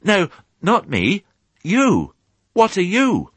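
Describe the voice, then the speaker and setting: A man's voice: high-pitched, wildly swooping pitch, very slow, a bit bright, breathy edge, no room sound, voice pushed up, full character voice. A man voicing a polite, earnest and naive young boy piglet in a storybook. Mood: curious. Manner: earnest, well-mannered, naive.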